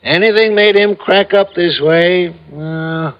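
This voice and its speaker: An American actor playing a talkative small-town police sergeant in a classic radio crime drama, telling things in a colloquial, emphatic, rambling way. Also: male voice, high-pitched, takes it slowly. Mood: admiring, emphatic. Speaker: supporting character actor. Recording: muffled old radio recording.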